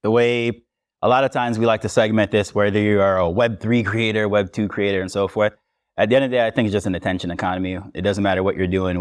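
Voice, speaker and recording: A man with a Jamaican accent talks through his ideas on a conference panel in a loose, conversational way. His voice sits low. The sound is dry and close, from a clip-on mic. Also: smooth, dry recording. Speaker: podcast host and panelist. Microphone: lavalier mic.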